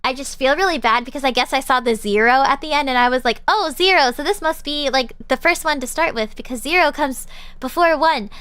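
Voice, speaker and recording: A woman's voice: sits high, a little fast, a bit bright, no room sound. A young woman streamer chatting casually and rambling with lots of fillers while she winds down her stream. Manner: bubbly, rambling with many fillers. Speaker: VTuber streamer. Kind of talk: casual live-stream chat.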